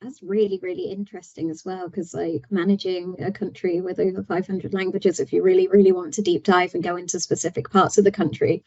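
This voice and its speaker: A woman's voice: fairly low pitch, level tone, a little fast, hardly any pauses, a little mellow, dull audio. A woman interviewer responding with interest in a casual chat.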